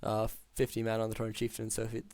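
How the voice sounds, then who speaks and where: A man calling a strategy game match alone, talking through plays with many ums, his voice hoarse after hours of casting. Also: lowish voice, fast talker, no echo. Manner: steady, filler-heavy analytical play-by-play. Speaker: game caster. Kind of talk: solo esports play-by-play commentary.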